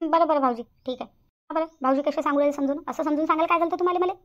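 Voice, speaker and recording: Woman acting fast, high-pitched blunt sister-in-law. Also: lightly acted. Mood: grumbling. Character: blunt, quarrelsome young sister-in-law at a family engagement. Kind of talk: Marathi family comedy cartoon dialogue. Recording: slightly dull lo-fi audio.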